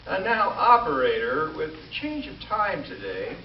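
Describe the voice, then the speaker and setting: Fussy, vain male comedian played by an actor, high and swooping, slow stammering delivery, vintage radio comedy, echoey stage. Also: wildly swooping pitch. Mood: flustered, fussy. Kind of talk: 1940s radio comedy acting. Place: live stage show before an audience. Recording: echoey stage recording, distant, noisy, dull.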